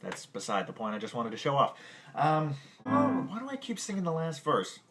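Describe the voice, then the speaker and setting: A man's voice: on the high side, lively intonation, moderate pace. A man hosting a music lesson podcast who keeps stumbling over his words, laughing at himself and muttering curses under his breath. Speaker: music podcast host teaching at the piano. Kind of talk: casual music lesson outtakes. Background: his own piano or guitar playing.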